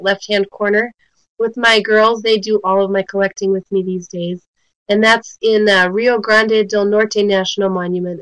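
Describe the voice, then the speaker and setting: A woman's voice: medium pitch, no echo, low-quality recording. A woman walks listeners through a webinar lecture in an easygoing, friendly way, with plenty of ums. She is just getting over a cold. The recording is dry, with no room sound. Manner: friendly, informal explaining with frequent ums. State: recovering from a cold. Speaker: guest speaker, bee biologist. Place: home in New Mexico.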